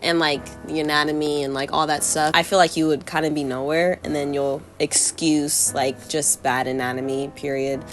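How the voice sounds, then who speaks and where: A woman's voice: low-pitched, level tone, moderate pace, few pauses. A woman art YouTuber chatting casually while sketching, chatty and upbeat with lots of fillers. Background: background music.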